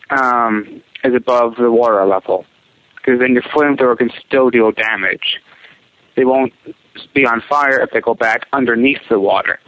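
Tinny phone-line voicemail, male caller, medium pitch, deliberate short phrases, explaining a tip. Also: no room sound. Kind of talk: giving a gameplay tip.

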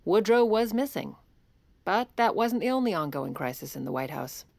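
A woman's voice: medium pitch, very animated, normal speed, vocal fry, a little mellow. An actress playing a composed, scheming first lady in an audio drama, polite and controlled with an urgent edge. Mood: confiding narration. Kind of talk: audio drama acting and narration.

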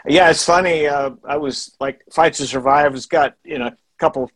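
A man's voice: fairly high pitch, moderate pace, fairly bright tone, no echo. A man, a musician, chatting loosely in an interview, laughing and restarting his sentences. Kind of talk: casual interview chat.

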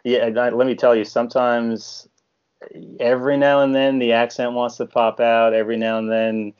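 A man from Canada whose first language is French, an actor chatting casually and haltingly in an interview. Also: mid-range voice, level tone, clean tone, highs cut off.

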